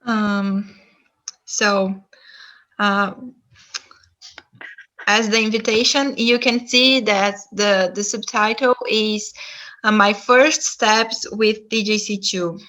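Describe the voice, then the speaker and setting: A woman's voice: mid-range voice, fairly even intonation, very slow, pauses often, bright, forceful tone. A woman speaking English with a Brazilian Portuguese accent, giving a testimony talk, hesitant with frequent "um" and "uh". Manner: hesitant, many "um" and "uh". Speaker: guest speaker.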